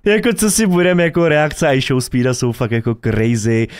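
A man's voice: medium pitch, fairly quick, a little hiss or hum. A young man, a native Czech speaker, giving casual, slangy reaction commentary as a streamer. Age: young adult. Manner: excitable, slangy. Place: home streaming room, indoors. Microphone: desk microphone close up.

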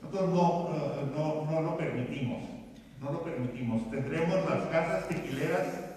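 A man's voice: fairly high pitch, moderate pace, darkish tone. An older man with a Mexican accent, an event organiser answering questions at a press conference. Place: indoor hall, panel table. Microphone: handheld microphone, far camera. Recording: echoey hall from far off, background noise.

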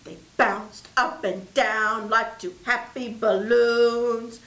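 A middle-aged woman, a librarian, reading a picture book aloud to children in an animated storytelling style. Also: on the high side, slow pace. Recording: roomy, echoey sound.

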